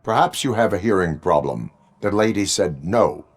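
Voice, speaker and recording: Deep, deadpan cyborg cop. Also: male voice. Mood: stern, flat. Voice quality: deep, measured voice. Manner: deadpan, formal, robotic. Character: stern, law-bound male cyborg police officer. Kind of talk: video game acting.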